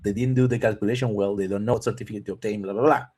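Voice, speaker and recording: Man hosting a relaxed webinar. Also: fairly low pitch, racing, husky. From Argentina. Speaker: webinar host answering viewer questions. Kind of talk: live webinar Q&A on visas and taxes.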